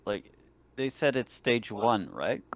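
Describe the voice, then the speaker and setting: A man's voice: fairly low pitch, very animated, slow. A man chatting casually as a player in a tabletop roleplaying game.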